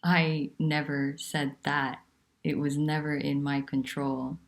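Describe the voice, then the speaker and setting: A woman teaching about nonduality, talking in a soft, unhurried and candid way with pauses. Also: sits low, flat delivery, creaky. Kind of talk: reflective spiritual talk.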